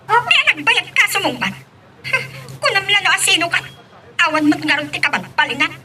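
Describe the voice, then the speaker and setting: A woman's voice: extremely high pitch, very animated, brisk, sharp tone, heavily acted. A woman playing a spiteful, jealous young rival, taunting and scolding in an audio romance drama. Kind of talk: Ilocano radio-style romance drama acting. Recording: noisy, distant mic with clicks.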